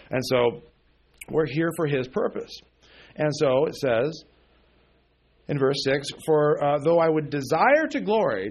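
A man's voice: medium pitch, husky. A man in his forties teaching a sermon on a Bible passage, with many fillers and restarts, often checking in with "okay?". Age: 40s. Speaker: preacher.

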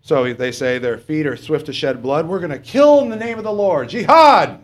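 Plain-spoken halting male preacher. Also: a little high, expressive. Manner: plain-spoken, halting, with fillers and rhetorical questions. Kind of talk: sermon.

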